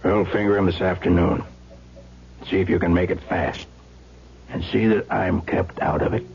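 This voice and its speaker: An actor playing a bossy, impatient gangland boss in an old radio drama, curt and domineering. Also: male voice, deep voice, fairly even intonation, moderate pace, vocal fry. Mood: guarded, businesslike. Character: gruff, impatient male crime boss, bossy and suspicious. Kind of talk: old-time radio crime drama acting. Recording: muffled, noisy old radio drama recording.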